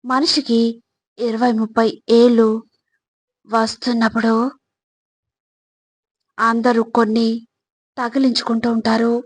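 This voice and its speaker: Lady doing motivational narration, highish voice, really dry close sound, pauses between short phrases. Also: flat delivery, no room sound. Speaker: narrator of motivational spiritual quotes.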